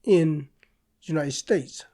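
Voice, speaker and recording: A middle-aged man from South Korea with a Korean accent, answering interview questions in a halting way, with pauses. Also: a little high, warm tone. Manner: slow, halting, with pauses and restarts. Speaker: guest artist and professor.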